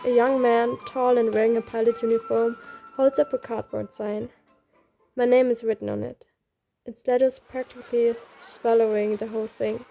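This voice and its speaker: A woman's voice: fairly high pitch, flat delivery, normal speed, vocal fry, mellow. A woman reading a game story aloud, both the narration and the dialogue. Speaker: reader. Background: faint music.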